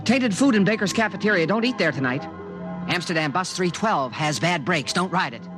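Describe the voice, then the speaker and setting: A man's voice: sits high, lively intonation, moderate pace. A man playing an earnest, fussy alien guardian in a 1950s radio drama, speaking in precise, formal, solemn pronouncements. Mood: urgent warning. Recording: vintage radio recording, faint music.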